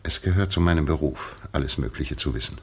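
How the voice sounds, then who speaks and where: An actor playing a calm, authoritative gentleman detective, speaking in a measured, precise, courteous way with a husky voice in a 1960s German radio play. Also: extremely low pitch, full character voice. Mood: composed, insistent.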